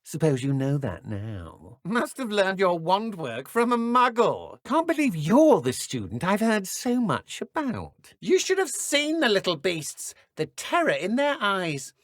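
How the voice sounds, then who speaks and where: A woman with a British accent voicing a cruel, smug dark witch poacher in a fantasy video game, taunting and condescending in a theatrical way. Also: mid-range voice, wildly swooping pitch, unhurried, hardly any pauses, no room sound, clean studio sound. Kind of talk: villain combat and idle barks for a fantasy game.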